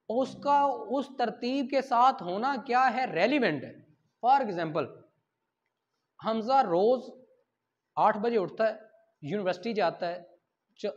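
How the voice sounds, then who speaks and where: A man with a Pakistani accent lecturing as a law teacher, explaining through examples, asking and answering his own questions and mixing in English terms. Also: high voice. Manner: code-switches into English terms. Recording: dull lo-fi lecture audio.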